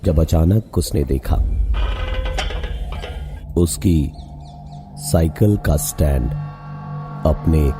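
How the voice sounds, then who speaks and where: A man narrating a scripted horror story in a suspenseful, dramatic way with drawn-out phrasing and pauses. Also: very deep voice. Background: music under the voice.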